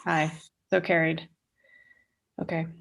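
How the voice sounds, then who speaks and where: Woman with a heavily creaky, gravelly voice. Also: deep voice, flat delivery, takes it slowly. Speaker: chair of a board meeting. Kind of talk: informal procedural meeting talk. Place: remote video conference call. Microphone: video call.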